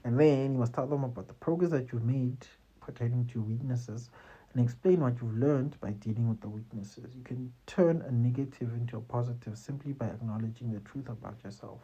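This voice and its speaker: A man's voice: mid-range voice, brisk, dark tone, slightly rough, lo-fi sound. A man hosting casual career-advice talk, conversational and repetitive, often tagging his points with "you see" and "man". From South Africa. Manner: conversational, repeats phrases, tags lines with "you see" and "man". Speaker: host giving career advice.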